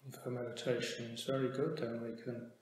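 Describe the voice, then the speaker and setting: Man guiding meditation in a very echoey hall. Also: mid-range voice. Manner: calm, measured, with long pauses. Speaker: Buddhist monk meditation teacher. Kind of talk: guided meditation talk. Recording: very echoey hall, faint background noise.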